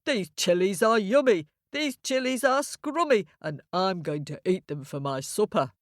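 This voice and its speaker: A woman's voice: mid-range voice, animated, moderate pace, no echo, studio quality, close to an everyday voice. A woman voicing a greedy, rude, stubborn male ram in a children's storybook, scoffing in singsong rhyme. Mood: scoffing, gloating. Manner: scoffing, singsong rhyming delivery.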